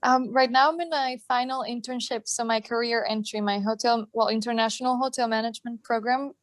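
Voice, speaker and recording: A chatty young woman from southern Spain, speaking with a Spanish accent and a fair number of hesitant fillers. Her voice sits a bit high. The video-call sound is close and dry, with no room around it. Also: steady tone, a little fast, no echo. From Jerez, Andalusia, Spain. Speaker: student guest. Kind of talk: casual video-call chat. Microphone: video call from work.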